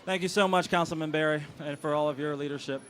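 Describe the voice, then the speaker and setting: A man's voice: on the high side, steady tone, fast talker, fairly bright tone, slight background noise. A man emceeing an outdoor community celebration, hyping up the crowd as he introduces speakers. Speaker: master of ceremonies. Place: outdoors on a city street.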